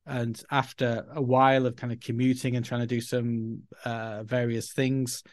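A man's voice: mid-range voice, level tone, normal speed, no room sound. A middle-aged man from Yorkshire with a Yorkshire accent, chatting as a podcast guest in a rambling, self-deprecating way. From Yorkshire, England. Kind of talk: casual interview talk.

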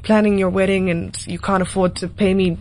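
A woman's voice: medium pitch, level tone, fairly quick, darkish tone. A South African woman in her twenties talking casually and informally as an interview guest. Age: 20s. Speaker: singer-songwriter guest.

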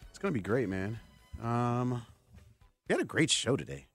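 A man's voice: mid-range voice, sing-song, moderate pace, husky, no room sound, soft background music. A man hosting a sports radio show in a casual, conversational, loosely rambling way, close on a studio microphone. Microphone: close broadcast microphone.

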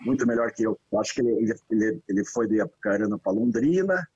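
Husky man, dull lo-fi sound. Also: lowish voice, expressive, fast, darkish tone, no room sound. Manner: hesitant, checks facts with others. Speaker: interview guest. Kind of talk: casual recollection.

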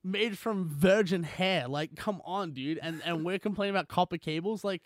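A man's voice: high-pitched, normal speed, no room sound, studio quality. A man with an Australian accent co-hosting a podcast, chatting casually and riffing jokily with exaggerated little impressions. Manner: jokey, exaggerated mimicry and riffing. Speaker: podcast co-host. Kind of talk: casual comedic chat.

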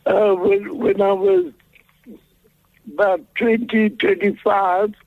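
Older male caller in his seventies, thin phone sound, slow and halting. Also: high voice, sing-song, gravelly, no echo. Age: 70s. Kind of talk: phone-in call. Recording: tinny phone line.

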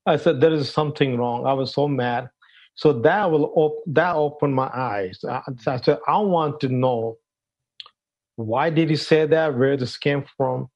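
An older man from Pakistan telling his life story as an interview guest, halting, with many fillers and non-native phrasing. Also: medium pitch, a little mellow. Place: at home, in a room. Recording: dull lo-fi sound.

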